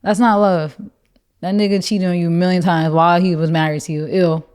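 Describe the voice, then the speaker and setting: A young woman with a deep voice, co-hosting a casual podcast chat, animated and full of fillers. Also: fairly quick, smooth. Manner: animated, lots of "like", mocking voices. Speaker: podcast co-host.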